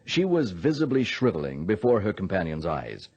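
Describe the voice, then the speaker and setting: A man narrating a radio drama from a script, in a grave, measured storytelling manner. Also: low voice, sing-song, a little mellow, breathy edge, highs cut off.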